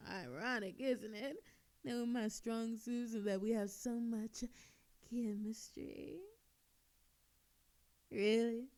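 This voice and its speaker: Casting: actress as coy female thief for audio drama, higher-pitched, shamelessly flirtatious, sarcastic and teasing. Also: darkish tone. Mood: smug, teasing. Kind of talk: scripted audio roleplay acting.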